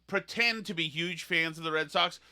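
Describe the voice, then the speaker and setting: A man hosting a podcast, ranting in an animated, sarcastic, mocking way. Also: a little high, moderate pace, clean tone. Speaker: podcast host. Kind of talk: opinionated podcast rant.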